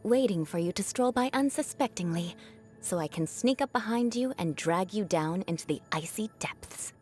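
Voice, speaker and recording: A woman's voice: medium pitch, lively intonation, moderate pace, a little mellow, soft background music, close to an everyday voice. A young woman voicing a proud, haughty noblewoman knight in a video game, an outcast bent on revenge, with formal, dramatic diction. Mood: teasing, menacing. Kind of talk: video game character voice lines.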